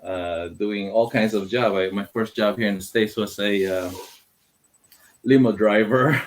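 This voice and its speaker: A very deep-voiced middle-aged man from the Philippines speaks as an interview guest. He talks in a hesitant, halting way, full of fillers, with Tagalog words in his English. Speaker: guest, filmmaker. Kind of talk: casual interview talk.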